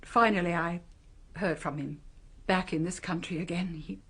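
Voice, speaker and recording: Husky, guarded mother. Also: on the low side, steady tone, fairly bright tone. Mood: anguished confession. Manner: halting, defensive, earnest. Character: guarded, anguished middle-aged mother with a secret. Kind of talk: radio drama acting.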